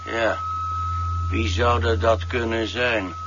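An actor playing a cold, unhurried villain with a measured, terse delivery in a 1970s science-fiction radio drama. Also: male voice, fairly low pitch, flat delivery. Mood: cool, calculating. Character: cold, unhurried male villain in an undersea base. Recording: dull, noisy 1970s radio recording.

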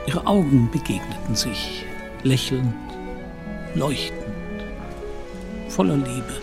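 An actor as the grave narrator of a literary radio drama, speaking in a measured, deliberate way. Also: male voice, medium pitch, expressive, over music. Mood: measured, solemn. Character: grave, unhurried storyteller of a literary tale.

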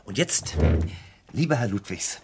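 An actor playing a middle-aged senior police officer, wry and unruffled, with a gravelly, husky voice, in a German radio crime drama. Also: male voice, lowish voice, very animated, a little slow, slight background noise. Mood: wry, dismissive. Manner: dry, dismissive, sardonic.

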